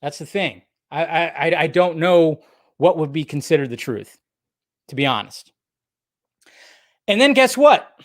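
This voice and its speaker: A man with a distinctly high-pitched voice delivers off-the-cuff commentary as a show host. He sounds exasperated and blunt, hammers his points by repeating them, and swears freely. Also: lively intonation, unhurried, pauses often. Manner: emphatic repetition of phrases. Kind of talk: unscripted ranting commentary.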